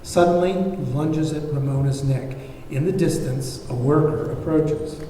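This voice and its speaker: Mellow male narrator in a very echoey hall. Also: on the high side, measured pace. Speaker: narrator reading stage directions. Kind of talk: scripted screenplay narration at table read. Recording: very echoey hall, far mic, faint noise.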